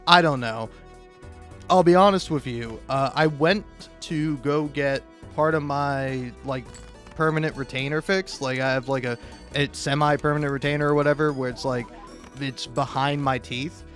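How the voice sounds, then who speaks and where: A young man telling casual, self-deprecating stories in an animated, rambling monologue, recorded on a modest home mic. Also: medium pitch, moderate pace. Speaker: video creator. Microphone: cheap mic, home recording. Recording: amateur mic with occasional plosive pops. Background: music.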